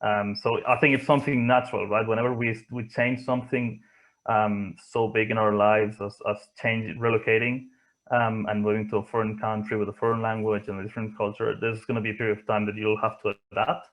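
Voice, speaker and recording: A man from Spain with a Spanish accent, giving reflective interview answers in a thoughtful, hesitant way with frequent pauses. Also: on the low side, level tone, fairly bright tone, low-quality recording. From Barcelona area, Spain. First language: not English, from Spain. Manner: hesitant, thoughtful, pausing often. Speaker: interview guest, motorsport engineer.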